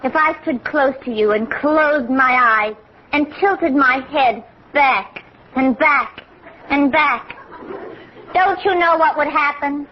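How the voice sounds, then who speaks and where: Coy, man-hungry woman for old radio sitcom; high, lingering delivery, muffled broadcast. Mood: coy, amorous.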